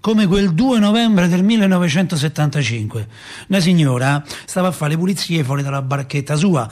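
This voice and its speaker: A man's voice: a little high, brisk, close to an everyday voice. An actor playing a chatty Roman father who tells city tales in a folksy, rambling way, with a Roman accent. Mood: earnest storytelling. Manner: animated, folksy, rambling, rhetorical. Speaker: actor in a radio theatre monologue. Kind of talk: colloquial dramatic storytelling.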